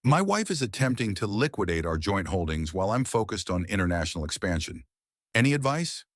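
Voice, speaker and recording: A man's voice: lowish voice, sing-song, fairly quick, no room sound, studio quality. A man doing a tired young businessman. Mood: worried. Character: tired young businessman in his early thirties.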